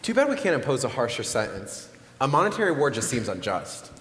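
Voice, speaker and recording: A man's voice: mid-range voice, sing-song, fast, fairly bright tone. A man playing an indignant, passionate juror in a live stage play, arguing earnestly. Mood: stern. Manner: earnest, argumentative. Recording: echoey hall stage recording.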